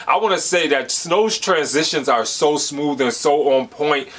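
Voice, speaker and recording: A man's voice: a little high, unhurried, fairly bright tone. An American man in his thirties hosting a music reaction video, talking with enthusiasm and rambling with lots of "like" fillers. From United States. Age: 30s. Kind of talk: casual reaction commentary.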